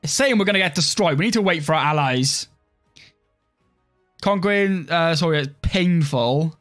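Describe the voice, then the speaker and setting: A young man talks through a live strategy-game stream in a relaxed, rambling way, answering chat as he plays. His voice sits a little high for a man. Soft music plays faintly behind him. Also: moderate pace. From Wales. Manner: relaxed, rambling, heavy use of "like". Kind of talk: live-stream gameplay commentary, reading chat.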